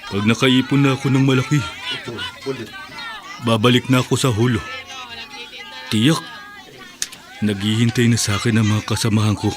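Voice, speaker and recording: An actor playing a scheming male street vendor in a radio drama, muttering low and pleased to himself. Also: lightly acted. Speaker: market vendor. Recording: loud crowd and music behind.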